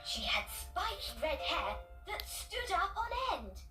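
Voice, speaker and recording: A woman narrating children's stories in an expressive, deliberate storybook manner. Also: very high-pitched. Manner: animated, deliberate storybook delivery. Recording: roomy, distant, loud music behind. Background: loud music.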